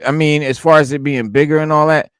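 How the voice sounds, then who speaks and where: A man's voice: fairly high pitch, fairly even intonation, quick pace, clear, resonant tone, no room sound, clean studio sound. A man in his forties co-hosting a casual hip-hop chat, emphatic and loose, with plenty of profanity and stammered restarts. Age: 40s. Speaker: podcast co-host.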